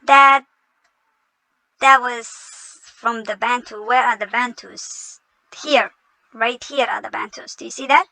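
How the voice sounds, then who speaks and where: Woman, high-pitched n bright, mocking and indignant, dry sound. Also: fairly even intonation, slow pace, gravelly, dry recording, rough recording. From Somalia. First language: not English. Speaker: reaction video host. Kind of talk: reacting to a clip.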